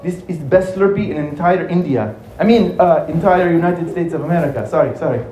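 Man putting on an excitable, higher-pitched pushy shopkeeper. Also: a little fast, soft background music, lightly acted. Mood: insistent, defensive. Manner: repeats words excitedly, salesman-like. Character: eager male Indian Slurpee shopkeeper, pushy and effusive. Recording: roomy echo, light background noise.

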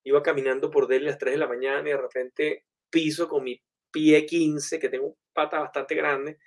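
A man telling animated, anecdotal stories in a relaxed conversation. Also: a little high, normal speed. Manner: animated, anecdotal, many fillers. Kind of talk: casual storytelling in conversation.

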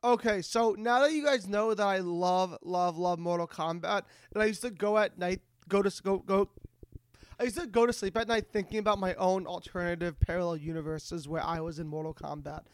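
A man co-hosting a casual comedy podcast, excitable and stammering, often repeating his words. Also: high-pitched, a little fast, clean tone, no room sound. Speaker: podcast co-host.

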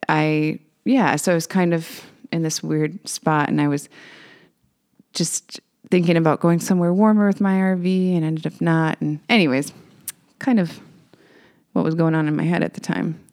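A singer-songwriter woman, a little raspy today, chatting casually between songs and telling rambling stories with fillers. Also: sits low. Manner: rambling, warm storytelling with fillers.

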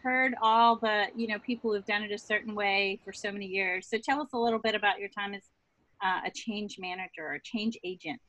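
A woman's voice: mid-range voice, steady tone, fairly quick, a bit bright, rough recording. A middle-aged woman hosting a podcast interview, effusive and enthusiastic. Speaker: podcast host. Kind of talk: conversational interview.

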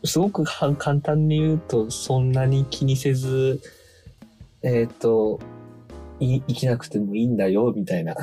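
A man with a medium-pitched voice chats as a studio guest on radio. He explains things informally and a little haltingly, with soft music behind him. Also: fairly even intonation. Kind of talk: casual radio chat.

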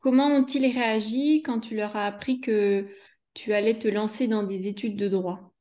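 Gentle woman interviewer. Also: mid-range voice, steady tone, moderate pace. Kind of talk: interview questions.

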